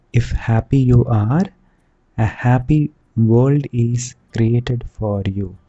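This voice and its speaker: A man leads a guided meditation as a teacher, speaking soothingly and deliberately in short phrases with long pauses. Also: medium pitch, dark tone, highs cut off. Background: background music.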